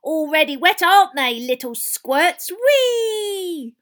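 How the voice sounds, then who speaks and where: A woman's voice: very high-pitched, sing-song, slow pace, a bit bright, pitched above the performer's own voice, exaggerated voice. A woman voicing a malicious little male poltergeist for a storybook, with gleeful, taunting cackles.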